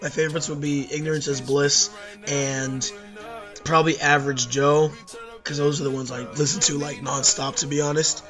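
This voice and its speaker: Hoarse young guy rambling thru his album rankings, kinda high voice, restarts a lot. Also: flat delivery, moderate pace, a bit bright, soft background music. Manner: rambling, many fillers and self-corrections. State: hoarse from losing voice at a concert. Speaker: YouTube music reviewer. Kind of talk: casual spoken album ranking.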